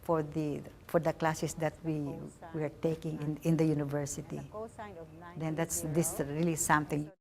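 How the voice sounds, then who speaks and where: Low-voiced woman instructor, halting delivery. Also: level tone, creaky, crowd noise. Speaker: college physics instructor. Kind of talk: interview testimonial.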